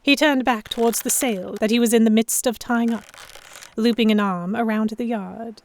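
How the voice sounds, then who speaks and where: A woman playing a proud, sharp-tongued historical heroine who narrates a pirate romance in the first person, wry and expressive. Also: fairly high pitch, normal speed, slight background noise, lightly acted. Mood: reflective narration. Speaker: narrator and lead voice actor.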